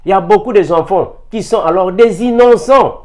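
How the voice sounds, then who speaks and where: A man's voice: high voice, moderate pace, a little mellow. A man from Africa preaches to fellow believers, emphatic and repetitive, asking rhetorical questions. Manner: emphatic, rhetorical questions, repeats key phrases. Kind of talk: impassioned sermon addressed to fellow believers.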